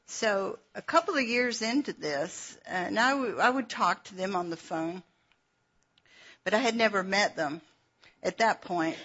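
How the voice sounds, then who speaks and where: A woman's voice: mid-range voice, lively intonation, a little slow, a bit bright, husky. A middle-aged woman with a Southern Georgia accent, teaching a Bible session in a folksy, chatty way and laughing at herself as she tells stories. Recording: dull lo-fi recording.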